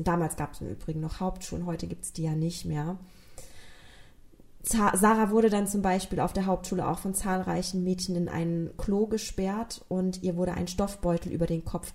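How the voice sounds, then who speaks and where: A woman's voice: on the low side, warm tone. A woman from Rhineland-Palatinate with a regional German accent, earnestly retelling a true crime case as a podcast host and speaking directly to her listeners.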